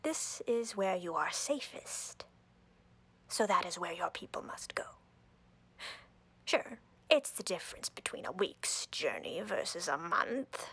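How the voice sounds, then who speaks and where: A woman playing an imperious, coldly flirtatious drider queen in a roleplay audio, breathy and whispery, speaking haughtily and deliberately with playful menace. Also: fairly low pitch, very animated, a bit bright, exaggerated voice. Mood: imperious, reasoning.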